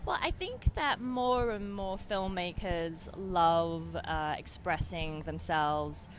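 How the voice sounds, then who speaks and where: A woman's voice: on the low side, takes it slowly, slight background noise. A young adult woman from England with an English accent, answering interview questions as a film director, hesitant with many fillers and restarts.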